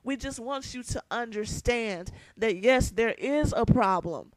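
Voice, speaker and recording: A young woman with a raspy edge and a fairly high voice speaks straight into the camera in a heated vlog rant. She hammers her points home and repeats them for emphasis. The sound is dry and close, with no room echo. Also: expressive, a little slow. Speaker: vlogger. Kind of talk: ranting to camera.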